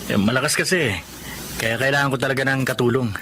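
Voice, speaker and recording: An actor playing a humble, shy, hardworking eatery owner with a Filipino accent, speaking modestly and hesitantly in radio drama style. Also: male voice, mid-range voice, steady tone, fast talker, bright, forceful tone, a little hiss or hum, close to an everyday voice.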